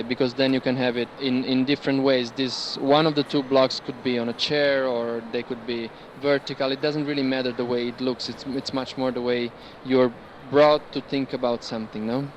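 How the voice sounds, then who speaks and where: A man talks informally as a guide explaining artworks. He searches for his words as he goes. Busy ambient noise of a fair hall sits behind him. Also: mid-range voice. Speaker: curator guiding an art fair tour. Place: large indoor art fair hall.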